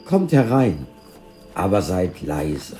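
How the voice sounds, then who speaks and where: A man voicing a gentle old innkeeper, full of wonder and awe, in a storybook. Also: deep voice, sing-song, slow, soft background music, full character voice. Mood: welcoming.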